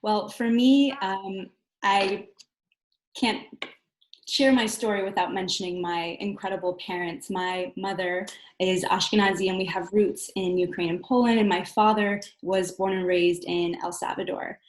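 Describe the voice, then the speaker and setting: A woman's voice: medium pitch, steady tone. Roomy-sounding woman, reflective and earnest. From Southern California, United States. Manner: reflective, earnest, halting with self-corrections. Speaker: panel guest, community coordinator. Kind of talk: personal account in a panel discussion.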